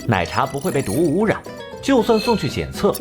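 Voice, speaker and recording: An actor playing a cold, arrogant young male genius detective in an animated crime drama, lecturing with sardonic condescension. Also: fairly high pitch, a little fast. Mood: confident, explanatory. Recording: music under the voice.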